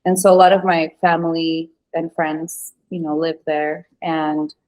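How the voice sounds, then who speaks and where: Venezuelan-born woman, 30s, kinda low voice, rambles with lots of ums, podcast guest. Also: flat delivery, unhurried, a little mellow, rough recording. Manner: chatty, lots of fillers and restarts. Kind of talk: casual interview chat.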